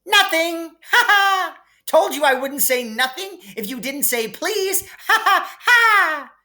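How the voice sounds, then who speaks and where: A man's voice: very high-pitched, lively intonation, takes it slowly, pushed and bright, voice pushed up, exaggerated voice. A man voicing a mischievous, bratty male poltergeist in an annoying sing-song for a storybook. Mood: smug taunting. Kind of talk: storybook character voice in read-aloud.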